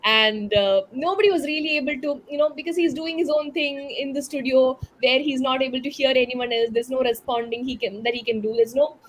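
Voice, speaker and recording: High-pitched Indian woman, halting delivery. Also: slight background noise. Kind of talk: panel discussion, spoken reflections.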